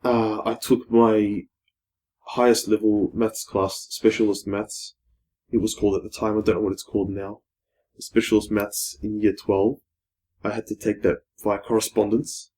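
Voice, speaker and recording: A man's voice: lowish voice, little pitch movement, moderate pace. A young man, a guest in a casual podcast discussion, speaking thoughtfully and hesitantly, often restarting words. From Australia. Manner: thoughtful, hesitant, with restarts, trailing off to a quiet finish.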